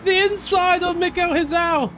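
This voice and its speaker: A high-pitched man from Portugal calls out his racing game live. He yells and cries out when the racing gets close. Between races he slips into calm, rambling chat with his viewers, over a faint hiss of noise. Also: normal speed. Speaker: game streamer. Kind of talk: live gameplay commentary and chat reading.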